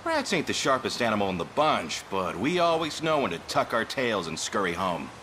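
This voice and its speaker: An actor voicing a rough-talking bandit from a thieving gang in a video game, using folksy slang. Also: male voice, lowish voice, sing-song, moderate pace, sharp tone, a little hiss or hum, low-quality recording. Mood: cheeky. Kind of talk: scripted video game voice acting.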